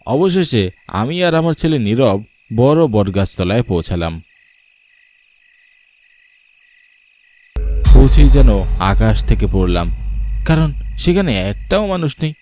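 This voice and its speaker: A man playing an uneasy, frightened father who narrates his own horror story dramatically, halting with fearful stammers. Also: mid-range voice, very animated, mellow, close to an everyday voice. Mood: uneasy, puzzled.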